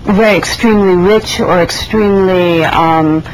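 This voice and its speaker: A woman who grew up in Iran, being interviewed and recalling her past in a reflective, hesitant way, with many pauses and restarts. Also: lowish voice, a little hiss or hum, poor audio. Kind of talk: oral history interview.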